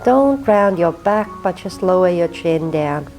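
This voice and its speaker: Finnish woman, low mellow voice, very unhurried soothing yoga cues, faint music beneath. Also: animated, rough recording. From Finland. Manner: slow, soothing cueing of breath and movement. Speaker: yoga teacher. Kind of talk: guided yin yoga instruction.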